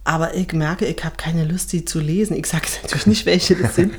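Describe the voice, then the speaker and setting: A woman's voice: deep voice, brisk, slight background noise. A woman co-hosting a podcast in casual chat, playful and chatty, jumping from topic to topic. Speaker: podcast co-host. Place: hotel room.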